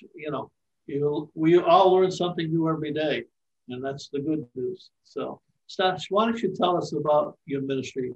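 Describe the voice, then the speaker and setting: A man's voice: medium pitch, vocal fry. An older man, a bishop, hosting an interview on a video call, hesitant with many fillers. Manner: hesitant, lots of "uh". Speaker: host, bishop. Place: home room, indoors. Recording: distant, dull call audio.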